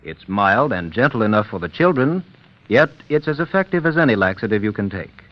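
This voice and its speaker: A man's voice: on the low side, big pitch swings, fairly quick. A man announcing a scripted old-time radio commercial with a polished, persuasive delivery. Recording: dull old radio recording, music.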